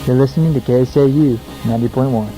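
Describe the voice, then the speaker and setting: A man's voice: medium pitch, quick pace, over music, low-quality recording. A young American man hosting a casual radio show, chatty, informal and self-deprecating between songs. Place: small radio studio, alone.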